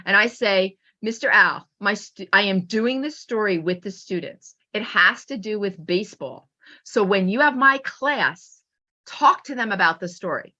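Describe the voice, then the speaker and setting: A woman teacher giving an informal webinar talk with anecdotes in an animated manner, acting out remarks as she goes. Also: mid-range voice, a little slow, highs cut off. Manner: animated, acts out pupils' remarks. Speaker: teacher and author presenting a webinar.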